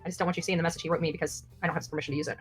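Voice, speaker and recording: A woman's voice: sits low, level tone, racing, faint music behind. A woman YouTuber talking off the cuff in a brash, mocking, repetitive way. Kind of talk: unscripted, taunting online monologue.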